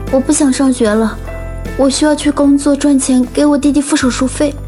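An actress playing a troubled, devoted young student and older sister in an audio drama. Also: female voice, sits high, fairly even intonation, brisk, warm tone, faint music behind, lightly acted. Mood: sad, hesitant. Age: young adult. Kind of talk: Chinese audio drama acting.

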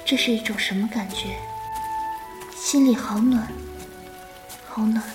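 An actress playing a sick, gentle young woman herbalist in a period wuxia audio drama, speaking softly, tenderly and wearily. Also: a little high, steady tone, a little slow, over music, lightly acted. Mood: tender, protesting. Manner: gentle, weary, tender, coaxing.

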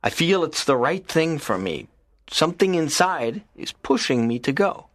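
An actor playing a lonely, earnest teenage schoolboy in an audiobook, talking in a soft, low, pleading way. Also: male voice, big pitch swings, normal speed, dry recording, clean studio sound. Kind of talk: audiobook character reading.

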